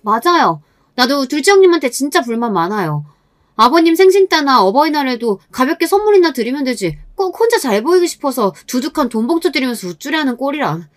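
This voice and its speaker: Woman acting a high, catty, resentful sister-in-law. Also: sing-song, fairly quick, no echo, studio quality. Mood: indignant. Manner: indignant, griping, blurting.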